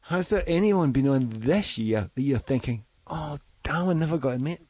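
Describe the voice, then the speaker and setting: A man chatting as a podcast panelist, asking curious questions in a halting way and chuckling. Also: a little high, lively intonation, darkish tone, husky, no echo, lo-fi sound. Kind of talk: casual panel chat.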